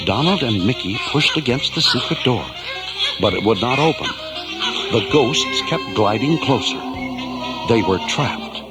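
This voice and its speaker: A man's voice: mid-range voice, lively intonation, unhurried. A man giving dramatic scripted narration of a children's story over music.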